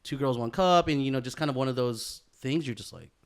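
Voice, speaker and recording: A man's voice: medium pitch, fairly quick. Casual, slangy male podcast co-host. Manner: slangy bro talk, frequent swearing. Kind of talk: casual chat between friends.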